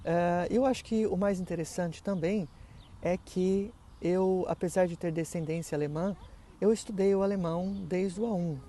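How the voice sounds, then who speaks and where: A man's voice: high voice, fairly even intonation, normal speed, mellow, slight background noise. A middle-aged man teaching German, explaining how his online courses work in a conversational way, full of fillers and tag questions. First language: Portuguese.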